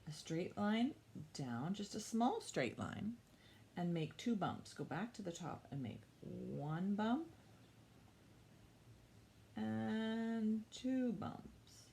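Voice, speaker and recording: A woman's voice: mid-range voice, big pitch swings, drawn-out words, vocal fry, mellow, a little hiss or hum. A woman hosting a children's storytime, reading and teaching in simple, encouraging, repeated phrases for young listeners. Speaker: children's librarian storytime host. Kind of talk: children's storytime with read-aloud and instruction.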